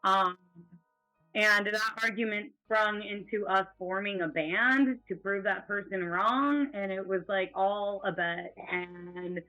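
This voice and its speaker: A man with a natural rasp, a band's co-founder and singer, chatting animatedly and emphatically in an interview. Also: high-pitched, steady tone, slow pace, pushed and bright, faint music behind, poor audio. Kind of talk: casual interview chat.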